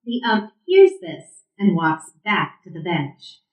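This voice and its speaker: A woman's voice: fairly low pitch, very animated, slow pace, highs cut off. A woman reading scripted stage directions as the narrator of a play. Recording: roomy, echoing sound.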